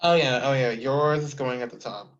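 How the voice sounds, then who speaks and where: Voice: mid-range voice, normal speed. Upbeat host on a dull lo-fi line. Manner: upbeat, enthusiastic, casual slang. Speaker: interview host. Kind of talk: casual interview hosting.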